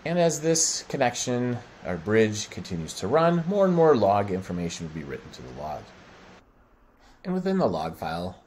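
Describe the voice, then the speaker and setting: A middle-aged American man presenting a software walkthrough, explaining step by step in short, halting phrases. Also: low-pitched, a little hiss or hum. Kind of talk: screen-share product walkthrough.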